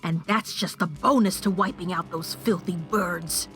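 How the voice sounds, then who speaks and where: A woman's voice: fairly low pitch, measured pace, a bit bright. A woman acts out a wicked changeling queen in her late teens, haughty and theatrical. She takes her time over each gloating, condescending line of this audio drama, with music playing behind her.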